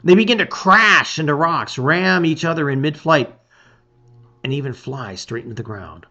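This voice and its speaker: Animated man reading a storybook dramatically. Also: a little high, moderate pace, highs cut off. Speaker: storybook reader. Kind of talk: dramatic reading of a fantasy adventure.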